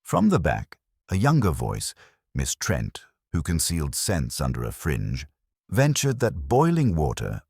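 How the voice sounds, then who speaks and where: Very deep, husky male story narrator. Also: a little mellow, no room sound. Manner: dry, arch, measured. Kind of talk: scripted narration of a wry mystery story.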